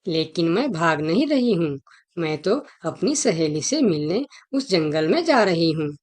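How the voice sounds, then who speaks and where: Woman as a lively, insistent cartoon fox. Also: lowish voice, measured pace. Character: clever, persuasive female fox arguing her case. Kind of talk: Hindi children's cartoon dialogue.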